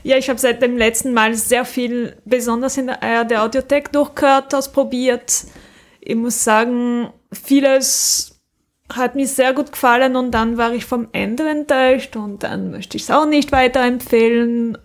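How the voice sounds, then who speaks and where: A woman's voice: a little high, steady tone, unhurried. A woman co-hosting a podcast, chatting casually and giving recommendations. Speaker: podcast host.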